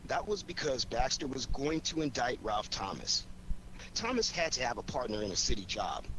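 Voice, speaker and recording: An actor playing a cool, confident amateur detective in a 1940s radio drama, with a theatrical, commanding delivery. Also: male voice, mid-range voice, very animated, moderate pace, a bit bright. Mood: triumphant. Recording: noisy 1940s radio recording.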